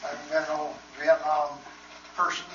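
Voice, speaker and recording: Older man speaking in a flat voice. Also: a little high, normal speed, muffled recording. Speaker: audience member. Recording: roomy, distant, noisy recording.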